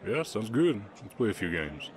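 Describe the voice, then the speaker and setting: A man playing a shy, gentle orc cowboy with a slight Southern accent and hesitant delivery in a roleplay audio drama. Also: fairly low pitch, big pitch swings, moderate pace, slight background noise. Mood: gentle, easygoing. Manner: hesitant, stammering, bashful. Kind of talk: roleplay audio drama, soft ASMR acting.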